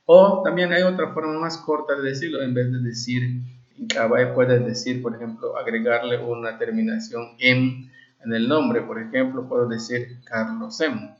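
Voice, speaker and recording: A man's voice: mid-range voice, steady tone, moderate pace. A man teaches a language class informally, explaining phrases and often checking that listeners follow. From Valladolid, Yucatán, Mexico. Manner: explanatory, frequent check-in questions. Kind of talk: informal classroom lesson with translated examples. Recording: dull, lo-fi, echoey room.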